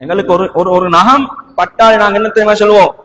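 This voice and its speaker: High-pitched Sri Lankan Tamil male preacher, echoing hall. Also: fairly even intonation, fast, fairly bright tone, highs cut off. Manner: emphatic, dramatic, with rhetorical questions and repeated phrases. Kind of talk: sermon with storytelling. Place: large mosque hall. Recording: reverberant hall.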